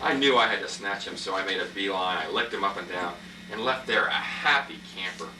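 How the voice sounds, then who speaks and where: A man's voice: deep voice, expressive, a little fast. A man voicing a chatty, cheerful runt puppy telling its life story in an amateur puppet show. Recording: echoey, noisy room from far off.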